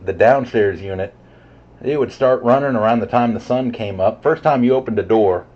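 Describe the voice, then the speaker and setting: A man chatting casually over CB radio in a halting, low-key way. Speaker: CB radio operator. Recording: dull, muffled CB radio signal.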